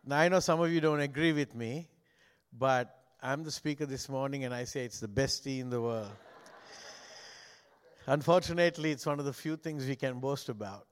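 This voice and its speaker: A man's voice: medium pitch, normal speed, clear, resonant tone, in a large hall. An older man from Sri Lanka, speaking English as a second language, preaching as a guest pastor in a joking, conversational way. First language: not English. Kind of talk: sermon.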